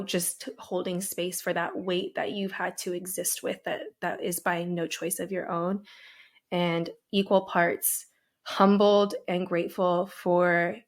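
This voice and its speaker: A woman hosting a podcast interview, speaking earnestly and emphatically, slowing down to stress key words and often checking in with "right?". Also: lowish voice. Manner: frequent "right?" and "um". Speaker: podcast host. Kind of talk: heartfelt interview conversation.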